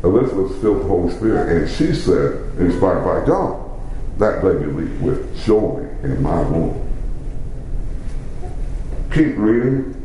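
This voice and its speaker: A man's voice: sits low, big pitch swings, mellow, slight background noise. Emphatic male preacher in an echoey hall. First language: English. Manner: slow, emphatic preaching with dramatic pauses. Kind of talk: sermon. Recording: very echoey room, faint background ambience.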